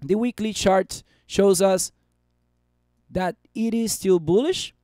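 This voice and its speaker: A man in his thirties hosts a live market stream from a small room at his desk, chatty and upbeat, reading out viewer comments. His voice sits high and sounds a little worn from talking so much. The sound is dry and close, with no room echo. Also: slow, dark tone. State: losing his voice. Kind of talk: live stream chart commentary and chat replies.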